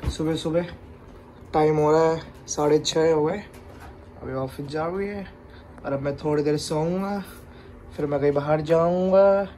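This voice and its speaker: A young man with a slightly high voice, chatting through his daily vlog while holding the phone camera at arm's length. Faint noise sits behind his voice. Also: a little slow, pauses often, warm tone. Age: young adult. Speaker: vlogger. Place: small room at home and outdoors.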